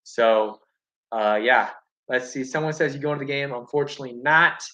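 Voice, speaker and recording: A young man chatting casually on a live stream from home, reading viewer comments and answering in a relaxed, rambling way with many fillers. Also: medium pitch, normal speed. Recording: dull lo-fi stream audio.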